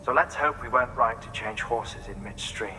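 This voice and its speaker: An actor playing a cerebral, measured, eccentric male scientist in a video game, speaking precisely and formally. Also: mid-range voice, pushed and bright, a little hiss or hum, rough recording. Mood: earnest briefing. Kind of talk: video game cutscene acting.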